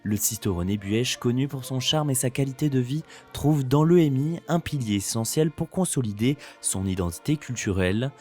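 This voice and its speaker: A man's voice: mid-range voice, lively intonation, moderate pace, few pauses, a little mellow. Guy doing scripted voiceover for a local promo film, music playing behind him. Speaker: narrator. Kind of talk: scripted promotional documentary narration. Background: background music.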